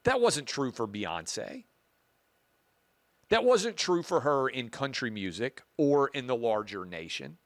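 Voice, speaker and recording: A man with a booming voice hosting a talk show, giving emphatic opinion commentary and repeating key points for effect. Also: very animated, takes it slowly, no echo.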